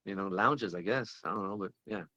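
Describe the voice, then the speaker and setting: A man's voice: deep voice, a little fast, sharp tone. A man who owns a record shop, talking as a guest in a casual interview, laid-back and advising.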